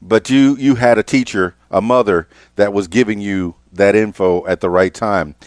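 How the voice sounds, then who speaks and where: A man in his fifties hosting a casual interview, speaking haltingly and often repeating his words. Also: on the low side, fairly even intonation, no echo. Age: 50s. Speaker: podcast host interviewer.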